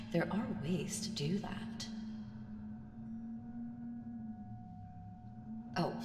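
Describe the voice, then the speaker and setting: In a cavernous, long reverb comes an ancient female evil played by a woman, speaking very slowly and on the low side. She is seductive and patient, teasing her listener with menace and dropping into whispers, in the manner of an ASMR roleplay. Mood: amused, taunting. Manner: slow, deliberate, teasing, lapsing into breathy whispers. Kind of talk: ASMR audio roleplay acting. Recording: very echoey, long reverb, music behind. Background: music.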